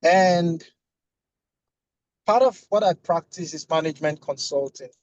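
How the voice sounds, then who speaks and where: A man from Lagos, a management consultant, speaking on a video call from his car in a measured, deliberate way. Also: on the high side, a little mellow, no echo, lo-fi sound. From Lagos, Nigeria. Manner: measured and deliberate, with a light joking touch. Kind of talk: panel discussion on a video call. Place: inside a car while driving.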